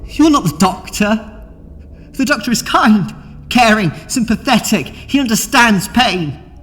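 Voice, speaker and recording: A man's voice: high-pitched, expressive, unhurried, fairly bright tone. An actor playing an obsessive, wounded young man in an audio drama, pleading and faltering with emotion. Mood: wounded anger. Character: obsessive, needy, wounded young male hanger-on. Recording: long echoing reverb, music behind.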